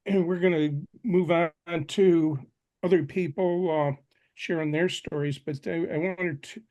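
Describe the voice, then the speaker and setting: A man in his seventies hosting a patient panel, speaking in a slow, halting way. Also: on the high side, fairly even intonation, darkish tone. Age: 70s. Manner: slow and halting, with restarts and fillers. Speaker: forum host. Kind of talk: moderating a video panel.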